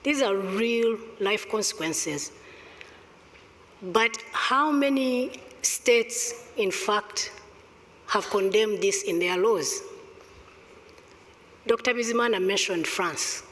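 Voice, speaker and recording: A speaker from Rwanda answering as a panelist in a discussion, talking in an emphatic, deliberate way and stressing points through repetition. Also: medium pitch, a bit bright. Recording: long reverb of a hall.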